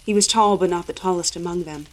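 A woman's voice: fairly low pitch, fast. A woman reading a first-person horror story as a dramatic narrator.

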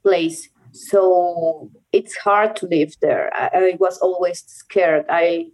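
A woman's voice: on the low side, slow pace, darkish tone, poor audio. Young venezuelan woman guest, spanish native, casual chat in english, laughs a lot. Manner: laughs often, restarts sentences in careful non-native English. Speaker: guest, rock climber.

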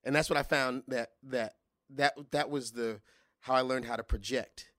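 A middle-aged man speaks in a relaxed interview, thinking aloud and stumbling over restarts before landing his point. His voice sits in an ordinary middle register. The sound is close and dry, with no room around it. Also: medium pitch, dry recording. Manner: halting, restarts often, animated when recalling singing. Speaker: guest singer. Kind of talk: casual interview conversation.

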